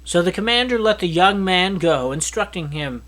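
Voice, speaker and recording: Male scripture reader, earnest and steady, lively intonation, occasional self-corrections. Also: high voice, moderate pace, poor audio. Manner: steady, earnest reading with small slips and restarts. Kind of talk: reading a Bible chapter aloud.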